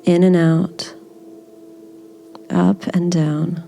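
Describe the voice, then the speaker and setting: A woman leading a guided body scan meditation in a gentle, soothing, unhurried manner. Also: lowish voice, little pitch movement, vocal fry, a little hiss or hum.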